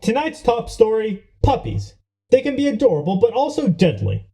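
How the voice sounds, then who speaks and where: A man playing an earnest, deadpan news co-anchor in a mock newscast. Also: high-pitched, moderate pace, vocal fry, husky, full character voice. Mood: earnest, newsy.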